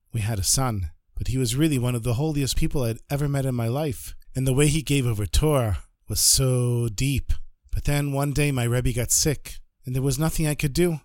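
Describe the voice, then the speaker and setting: A man doing a calm, earnest wealthy disciple. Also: mid-range voice, no room sound, studio quality, close to an everyday voice.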